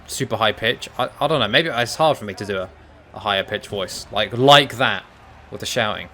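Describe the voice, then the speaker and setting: A young man casually commentating a fight video game, excitable and breaking into shouts at big moments. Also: fairly low pitch, fairly quick, a little hiss or hum.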